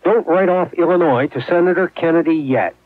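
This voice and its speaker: An American man files a scripted news report. His voice is somewhat high and husky. The recording is dry and narrowly band-limited, like old radio. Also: brisk, dry recording. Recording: very thin, dry phone-like radio sound.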